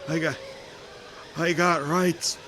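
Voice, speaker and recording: A man's voice: a little high, flat delivery, slow, noisy background. A man playing a bitter, stubborn ex-soldier in an audio drama, with a blunt, folksy, defensive way of talking. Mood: desperate, frightened. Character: bitter, defiant ex-soldier, a stubborn male captive.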